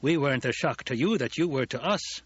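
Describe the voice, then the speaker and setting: A man's voice: medium pitch, animated, fairly quick, a bit bright, breathy edge, dry recording, lo-fi sound, pitched above the performer's own voice. A man voicing a loyal veteran soldier in an audiobook, deferential to his lord, speaking earnestly and emphatically. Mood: emphatic. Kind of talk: audiobook character dialogue.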